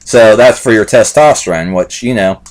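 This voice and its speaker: A man's voice: lowish voice, moderate pace. A man in his late twenties talking casually to camera in a rambling, repetitive way, with many fillers and "like I said". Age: 20s. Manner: loose and repetitive, lots of fillers and "like I said". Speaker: vlogger reviewing supplements.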